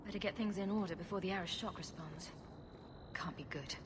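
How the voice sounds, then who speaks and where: A woman's voice: fairly low pitch, fairly quick, background noise, close to an everyday voice. A woman voicing a sarcastic young female rogue hero who talks in a dry, muttering way. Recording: game audio with music behind.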